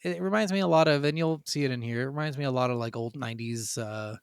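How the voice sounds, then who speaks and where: A man's voice: medium pitch, fast talker, gravelly, clear, resonant tone, no echo, studio quality. A man co-hosting a casual gaming podcast, talking informally and swearing freely. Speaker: podcast co-host.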